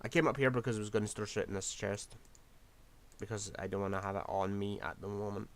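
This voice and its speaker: A man's voice: fairly low pitch, fairly even intonation, fast talker, a little hiss or hum. A man, rambling through casual gameplay commentary while losing his voice. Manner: rambling, scatterbrained, self-deprecating. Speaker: game streamer.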